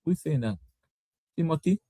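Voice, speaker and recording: A man with a Caribbean English accent hosting a sports debate show, animated and repeating his words. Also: a little high, moderate pace, slightly rough, no echo. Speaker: show host. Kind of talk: lively sports debate talk.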